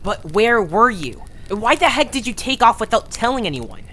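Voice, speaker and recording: A man's voice: high-pitched, very animated, normal speed, sharp tone, full character voice. A man playing a blunt, impatient young creature who leads his group in an audio drama. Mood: annoyed. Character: bossy, blunt young male Zoombini leader, proud and impatient. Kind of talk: audio drama character acting.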